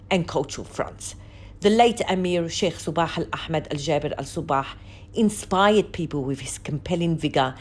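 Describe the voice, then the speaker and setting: A woman narrates a scripted news report in a low register. Her delivery is formal and measured, with emphasis placed on each item as she lists it. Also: slight background noise.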